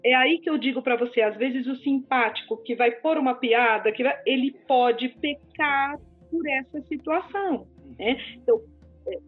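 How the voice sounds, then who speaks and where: A woman with a Brazilian Portuguese accent, a podcast guest explaining communication and empathy, animated and sometimes acting out other people. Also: high-pitched, moderate pace, slightly rough. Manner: animated, acts out quoted people. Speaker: speech therapist guest. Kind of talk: podcast conversation. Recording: phone-like lo-fi audio with faint music.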